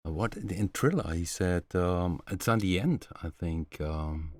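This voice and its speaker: A middle-aged man hosting a casual live stream from a home studio, showing synth sounds in a relaxed, halting way with many fillers. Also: deep voice, no room sound. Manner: relaxed, halting, many fillers, slightly non-native phrasing.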